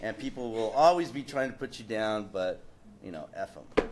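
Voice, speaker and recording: A man's voice: on the low side, expressive, normal speed, a crowd in the background. Laughing man telling a story. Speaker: panelist. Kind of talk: casual storytelling on a panel.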